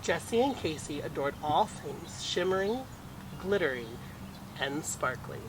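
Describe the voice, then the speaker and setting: A man's voice: fairly high pitch, lively intonation, unhurried, far from the mic, background noise. A man reading picture books aloud expressively as a storytime reader, with friendly asides to children.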